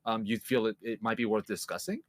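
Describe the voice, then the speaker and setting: A man's voice: fairly low pitch, fairly even intonation, brisk, dry recording. A man co-hosting a casual podcast, talking loosely with lots of fillers and swearing. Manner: loose, profane, trailing off with fillers. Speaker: podcast co-host.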